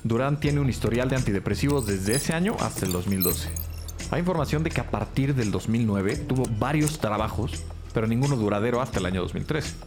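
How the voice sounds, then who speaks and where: A man's voice: mid-range voice, close to an everyday voice. A man playing a police researcher giving a factual briefing in an audio drama. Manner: factual, informative. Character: male police researcher reading out background findings.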